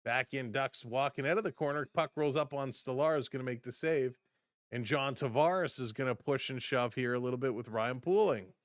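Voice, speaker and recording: Hoarse-edged man calling hockey play-by-play. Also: medium pitch, dry recording, clean studio sound. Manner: brisk play-by-play, chatty asides to viewers. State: voice getting hoarse. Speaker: fan stream commentator. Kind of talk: live play-by-play and chat reactions.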